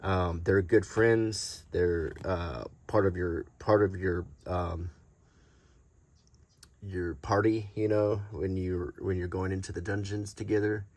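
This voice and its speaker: A man hosting an unscripted, ASMR-like show-and-tell in a halting, casual way. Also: sits low, steady tone, darkish tone, no echo. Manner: halting, unscripted, hesitant delivery. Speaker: host.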